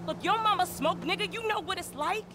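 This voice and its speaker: A woman's voice: very high voice, brisk, noisy background. An actress playing a scrappy, drug-worn street woman in a video game, slangy and foul-mouthed, defensive and wheedling. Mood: defensive pleading. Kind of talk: video game cutscene acting.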